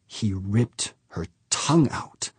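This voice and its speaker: A man's voice: lowish voice, expressive, slow pace, darkish tone, slightly rough, no echo, heavily acted. A man voicing a shaken male executive who gives terse, clipped orders. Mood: grim.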